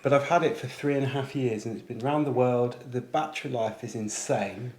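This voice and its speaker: A man's voice: medium pitch, a little fast, darkish tone. A man presenting a casual product unboxing, chatting informally with British slang. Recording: echoey room, faint background noise.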